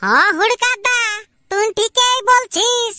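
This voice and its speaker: A woman's voice: extremely high pitch, lively intonation, measured pace, pushed and bright, no echo, studio quality, faint music behind, exaggerated voice. A woman voicing a scheming, insistent village youngster with a rural Purulia Bengali accent in an amateur comic cartoon. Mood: agreeing, recounting. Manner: bargaining, insistent.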